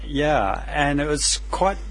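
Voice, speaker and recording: A man's voice: on the high side, expressive, takes it slowly, a bit bright. A man hosting a community radio science show, chatty and casual as he interviews guests.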